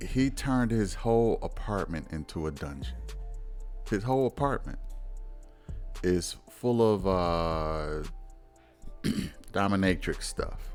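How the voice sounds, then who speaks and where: A man giving laid-back, chuckling commentary as he reviews a reality show. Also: deep voice. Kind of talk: casual commentary.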